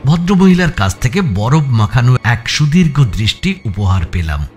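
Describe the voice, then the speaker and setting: A man narrating a suspense audio story as its protagonist, in a measured, dramatic storytelling style. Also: mid-range voice, sing-song, a little mellow, breathy edge. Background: background music.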